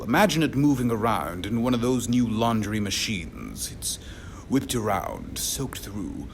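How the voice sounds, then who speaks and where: A man playing an authoritative investigator of otherworldly visitors in a radio drama, speaking with dramatic assurance. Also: lowish voice. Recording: clear background noise.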